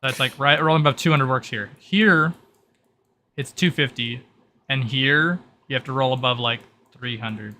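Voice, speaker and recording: A man's voice: mid-range voice, normal speed, pushed and bright, a little hiss or hum. A man narrating as game master, explaining the rules and briefing players in piecemeal, hesitant phrases.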